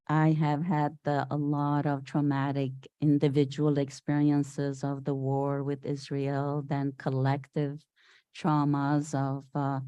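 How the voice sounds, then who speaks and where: An older woman from Syria, giving a reflective, earnest personal talk as a guest speaker in a halting way. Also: low-pitched, dark tone, no echo. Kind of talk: personal inspirational talk to a congregation with poem recitation.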